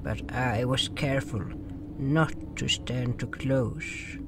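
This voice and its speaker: A man narrating a story in the first person, in a slow, earnest amateur reading. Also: mid-range voice. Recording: mono narration over music.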